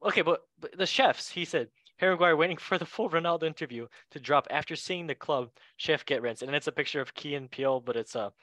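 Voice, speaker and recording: Bright-voiced male football show host. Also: mid-range voice, dry recording. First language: not English. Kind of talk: casual sports chat show commentary.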